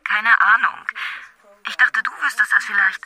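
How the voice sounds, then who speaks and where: A woman's voice: medium pitch, fairly even intonation, moderate pace, bright, forceful tone, no room sound, poor audio. An actress playing a terse, guarded woman in an audio drama.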